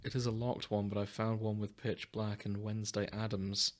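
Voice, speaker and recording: Low-voiced man in casual podcast chat. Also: little pitch movement, normal speed, slight background noise. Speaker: podcast co-host. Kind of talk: casual fandom chat, some reading aloud of story summaries.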